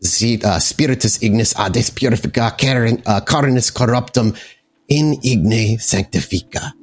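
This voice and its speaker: A man voicing a solemn vampire sorcerer, reciting a slow, halting ritual incantation in a tabletop game. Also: medium pitch, natural voice.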